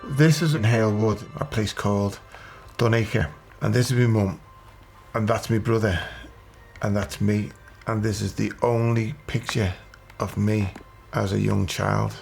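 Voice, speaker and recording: A man's voice: lowish voice, fairly even intonation, unhurried, creaky, darkish tone, soft background music. A man, reflectively telling his family history in a documentary interview. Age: 50s.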